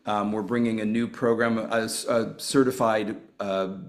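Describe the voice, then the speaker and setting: A man with a fairly low voice introduces himself on a video call. He rambles and restarts his sentences often, with plenty of fillers between thoughts. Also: flat delivery, measured pace. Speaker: professional speaker and author.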